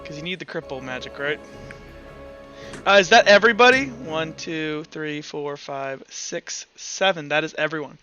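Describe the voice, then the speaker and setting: A man speaking as game master, improvising narration in an informal, halting way with repeated and restarted phrases. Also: on the high side, bright, forceful tone. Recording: muffled online voice call with background music.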